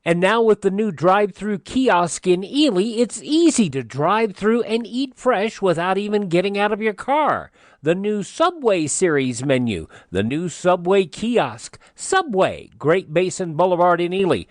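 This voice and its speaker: A woman reads a scripted local radio commercial. Her mid-pitched voice is lively and varied, recorded close and dry. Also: unhurried, no room sound. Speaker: commercial voice talent.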